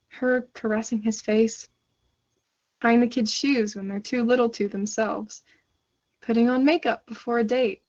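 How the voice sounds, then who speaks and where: A woman's voice: on the high side, darkish tone. An actress playing a wistful, chatty woman in a conversational stage monologue, musing reflectively as if confiding in a listener. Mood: tender. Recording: dull lo-fi conference call audio.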